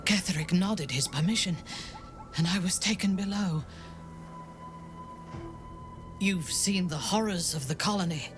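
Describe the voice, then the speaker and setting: A woman playing a proud, vengeful, long-lived dark elf noblewoman in a video game, speaking in a measured, guarded, formal manner. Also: lowish voice, natural voice. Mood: grim, haunted. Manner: measured, deliberate, grave, formal phrasing. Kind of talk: dramatic video game acting. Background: background music.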